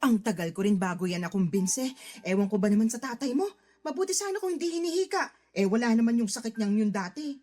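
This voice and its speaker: A woman playing an affectionate middle-aged mother, expressive and motherly, in a radio drama. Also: on the high side, fast. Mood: motherly, concerned. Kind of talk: Tagalog radio drama acting.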